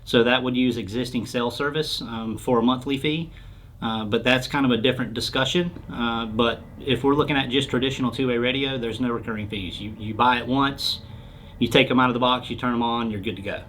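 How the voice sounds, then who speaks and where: A man speaks at a middling pitch in an informal interview. He explains things plainly and practically, as a product specialist answering a customer's basic questions. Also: fairly even intonation, a little fast, a bit bright, a little hiss or hum. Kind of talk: casual explanatory interview talk.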